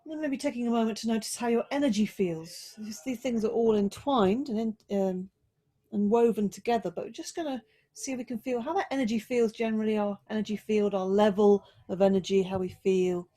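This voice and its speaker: Woman guiding a slow, mindful relaxation. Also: medium pitch. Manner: slow, mindful, gently coaxing instructions with pauses. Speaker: yoga teacher. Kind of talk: guided relaxation and body check-in.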